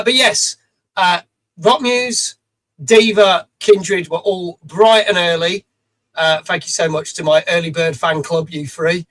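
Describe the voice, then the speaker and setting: A middle-aged British man hosting a live stream from his home studio, his voice pitched noticeably high for a man and a touch rough. He chats loosely, greeting viewers one after another, with plenty of "uh"s between the names. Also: pushed and bright, poor audio. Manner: chatty, greets viewers by name, many fillers. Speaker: streamer host. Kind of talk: casual live-stream chat. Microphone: studio mic.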